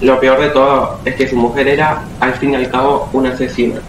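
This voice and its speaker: Male amateur as narrator of a dark love tale, radio drama delivery, roomy distant recording. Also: medium pitch, little pitch movement, brisk, natural voice. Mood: storytelling. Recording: echoey, distant, noisy room recording.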